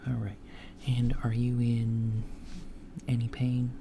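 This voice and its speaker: Man as a gravelly, slow alien doctor for ASMR roleplay, hushed and hesitant, over audible background hiss. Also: mid-range voice, completely flat delivery. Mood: calm clinical. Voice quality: soft, hushed, partly whispered. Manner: unhurried, hesitant, with many fillers and murmured acknowledgments. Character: calm alien doctor examining a human patient.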